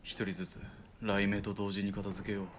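A steady army spotter, voiced by a man in a radio drama, with a low voice. He gives crisp, clipped commands and talks to his partner in rough, joking speech. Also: moderate pace, background noise, close to an everyday voice.

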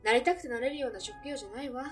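A woman playing a friendly café barmaid with a gently teasing, feminine manner in a radio drama. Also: on the high side, rapid-fire, distant mic, soft background music, close to an everyday voice. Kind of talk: Japanese radio drama acting.

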